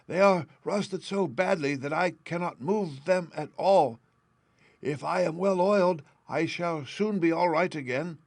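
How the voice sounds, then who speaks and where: A man voicing a polite, grateful male tin woodsman in a storybook, in a high, strained, slow and sad tone. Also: no echo. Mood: plaintive.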